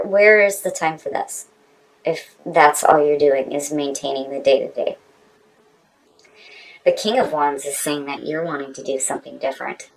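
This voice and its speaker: Female tarot reader, low pitch, casual conversational delivery, informal and emphatic. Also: low-quality recording.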